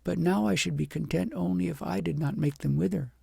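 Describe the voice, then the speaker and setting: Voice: mid-range voice, a little fast, vocal fry, warm tone, breathy edge, no echo, studio quality, voice pushed up, exaggerated voice. A reader voicing a young princess, humbled, earnest and full of feeling, in a storybook. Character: remorseful young princess, emotional, humbled and adoring.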